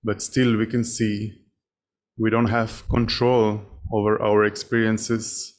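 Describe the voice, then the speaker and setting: A man leading a guided meditation as a teacher, speaking in short, measured phrases with long pauses between them. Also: on the low side. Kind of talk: guided meditation, spoken instruction.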